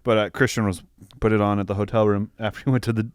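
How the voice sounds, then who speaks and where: A man's voice: lowish voice, flat delivery, quick pace, clean tone, no room sound, clean studio sound. A man co-hosting a podcast, chatting casually in a joking, informal way. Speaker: podcast co-host. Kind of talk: casual comedic chat.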